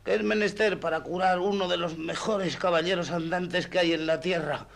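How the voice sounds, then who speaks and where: An actor playing a plain-spoken, aching peasant squire in a radio drama, deferential and plaintive. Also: male voice, sits high, steady tone, moderate pace, vocal fry, fairly bright tone. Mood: pleading.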